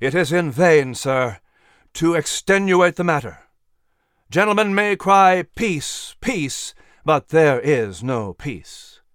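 A man voicing an impassioned patriot orator who rouses a hall, rising to shouts. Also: fairly high pitch, expressive, takes it slowly, smooth, clean studio sound. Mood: rousing.

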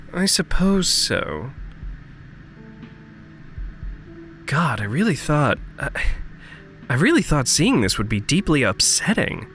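An actor as Liam, earnest, expressive, unhurried rich family's son. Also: medium pitch. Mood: reflective. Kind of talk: fiction podcast acting.

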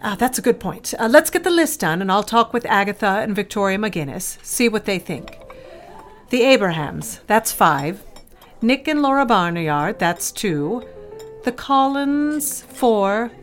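A woman's voice: mid-range voice, big pitch swings, measured pace, faint music behind. An actress playing a genteel, controlling middle-aged society mother in an audio drama, fussy and pointed, breezily dismissive. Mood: brisk planning. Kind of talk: scripted audio drama acting.

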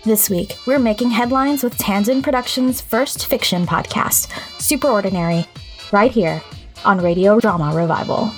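A woman hosting a podcast, reading scripted narration in a measured, articulate way. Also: mid-range voice, soft background music. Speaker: podcast host.

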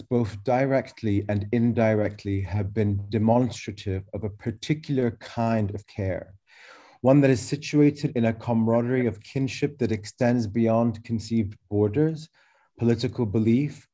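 A man whose first language is Arabic, reading a measured, lyrical spoken essay about a work he is presenting. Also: mid-range voice, dark tone. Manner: measured, deliberate, lyrical phrasing. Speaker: artist presenting a sound work.

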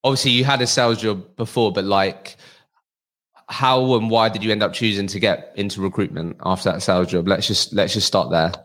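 A man's voice: on the low side, fairly even intonation, fairly quick, a bit bright. A man hosting an interview podcast, talking conversationally with restarts and fillers. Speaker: podcast host.

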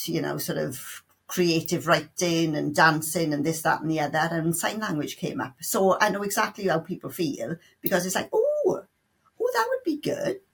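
Low gravelly Welsh woman. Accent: South Welsh. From South Wales. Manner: chatty, with many restarts and playful quoted asides. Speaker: sign language tutor. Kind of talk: casual interview answers.

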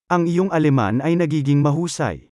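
Man, fairly high and very lively voice, scripted phrase reading for a language course, dry recording. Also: fairly quick, dry recording. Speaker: Filipino phrase reader.

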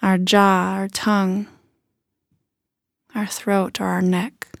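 A woman leading a guided body scan meditation in a gentle, unhurried manner with soft phrase endings. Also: medium pitch, little pitch movement, vocal fry, no room sound, studio quality.

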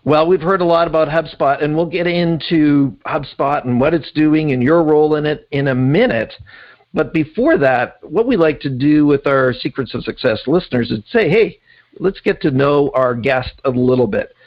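A man's voice: on the high side, moderate pace, muffled recording. Upbeat encouraging podcast host, man. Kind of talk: podcast interview and promo read.

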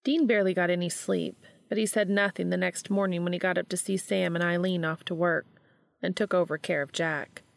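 A woman narrates a story in a slightly low voice with plenty of creak. The recording is dry, with very little room sound, and she reads from a script as an audiobook reader would. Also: steady tone, brisk, no echo. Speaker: audiobook reader of fan fiction.

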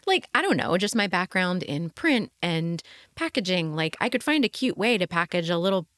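A woman's voice: lowish voice, big pitch swings, normal speed, fairly bright tone, no echo, clean studio sound. A middle-aged woman co-hosting a podcast, chatting casually and playfully with a fondness for puns. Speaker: podcast co-host. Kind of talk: casual chat.

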